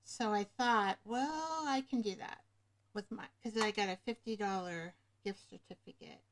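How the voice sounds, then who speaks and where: A woman hosting a casual craft livestream, rambling and pausing to search for words, speaking into a webcam microphone. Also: mid-range voice, a little hiss or hum. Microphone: webcam microphone above the screen.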